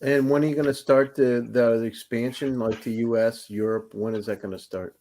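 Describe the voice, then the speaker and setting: A man's voice: medium pitch, flat delivery, moderate pace, mellow, a little hiss or hum. A man on an investor panel pressing a founder with blunt, direct questions about money and plans. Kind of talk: probing business questions.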